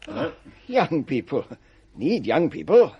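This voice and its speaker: An actor playing a fussy, eccentric English vicar in a radio play, apologetic and rambling, repeating himself in a flustered way. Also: male voice, a little high, huge pitch swings, takes it slowly, vocal fry, slightly rough, dull audio, full character voice. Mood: doleful, rambling. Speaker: parish vicar.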